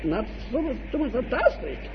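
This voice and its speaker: A man's voice: very high-pitched, big pitch swings, fairly quick. A man giving a devotional lecture, often asking "huh?" and acting out little exchanges. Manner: asks "huh?" often, acts out little dialogues, chuckles. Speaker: spiritual teacher. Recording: dull lo-fi archival tape with faint hiss.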